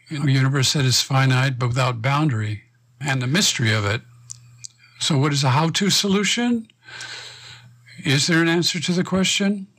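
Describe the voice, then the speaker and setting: A man's voice: medium pitch, a bit bright, breathy edge. Reflective man reading his poetry. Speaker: poet. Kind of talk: reading and discussing his poem.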